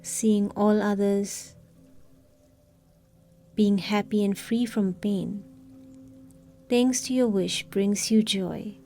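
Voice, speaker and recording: Female meditation guide: soft, warm, relaxed timbre, mid pitch, calm scripted delivery with long pauses. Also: faint music behind.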